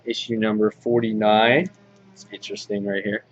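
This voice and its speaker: A man giving an informal, halting show-and-tell commentary as a hobby vlog host, in an understated way. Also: on the low side, flat delivery, faint music behind. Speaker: vlog host showing a comic book collection. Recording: dull lo-fi audio, clear background noise.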